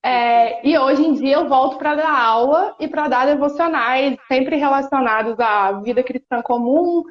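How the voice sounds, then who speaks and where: A woman in her thirties from Espírito Santo, Brazil, with a Brazilian accent, speaking as a guest in an earnest, reflective chat with frequent pauses and restarts. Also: high-pitched. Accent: Brazilian Portuguese, Espírito Santo. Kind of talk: casual chat on a live stream. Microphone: phone or laptop on a video call. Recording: roomy, dull live-stream audio.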